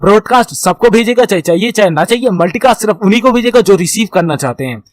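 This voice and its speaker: A man's voice: sits high, lively intonation, fast talker. A man giving an explanatory lesson as an instructor, in a didactic, repetitive way, often checking that listeners follow. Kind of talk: explanatory computer networking lesson, reading notes and explaining them.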